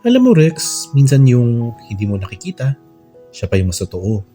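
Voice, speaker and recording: A Filipino man voicing a smooth, tender young male radio DJ in a storybook romance, soothing and affectionate. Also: medium pitch, very animated, normal speed, dark tone, natural voice. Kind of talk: late-night radio host patter in storybook narration. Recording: music under the voice.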